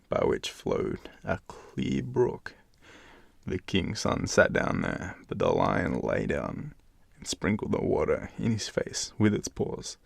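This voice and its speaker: Male Australian bedtime storyteller, heavy vocal fry, expressive, recorded close and dry. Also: low voice, dry recording. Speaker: storyteller, channel host. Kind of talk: expressive bedtime fairytale narration with casual asides.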